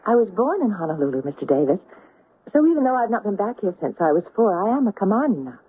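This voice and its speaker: An actress playing a gracious, candid young bride-to-be in a radio drama, polite and composed. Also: female voice, medium pitch, sing-song, quick pace, slightly rough, phone-quality sound. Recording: band-limited old radio recording.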